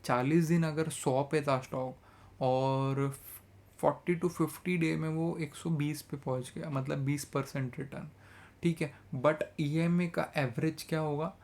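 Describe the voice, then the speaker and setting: Energetic man teaching trading, sore throat. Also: a little high, unhurried. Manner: energetic, mixes English phrases, repeats "theek hai". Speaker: trading educator. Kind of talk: casual trading tutorial in Hindi-English mix.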